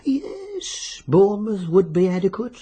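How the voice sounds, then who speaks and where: An actor playing a sly, genial British fixer in a radio drama, insinuating and coolly businesslike. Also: male voice, high voice, wildly swooping pitch, measured pace, mellow, husky, full character voice. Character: sly, genial bookmaker-like fixer arranging deaths by wager.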